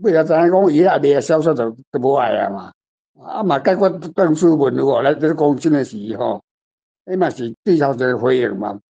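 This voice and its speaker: A man's voice: medium pitch, animated, brisk, mellow. A man with a Taiwanese accent chatting casually as a guest, often checking with the listener through little tag questions. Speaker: guest commentator. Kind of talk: casual chat. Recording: dull lo-fi sound under guitar music. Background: plucked guitar music.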